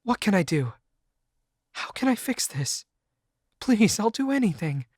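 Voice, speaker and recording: A man's voice: sits high, very animated, unhurried, a little mellow, dry recording, studio quality, pitched above the performer's own voice, full character voice. A man voicing a distraught young woman who pleads in a shaky voice. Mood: pleading.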